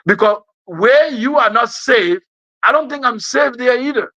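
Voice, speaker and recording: A man's voice: very high-pitched, expressive, a little slow, bright, forceful tone, no room sound, lo-fi sound. A man with a West African accent gives an impassioned political speech, emphatic and repeating phrases for effect. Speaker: speaker giving an address.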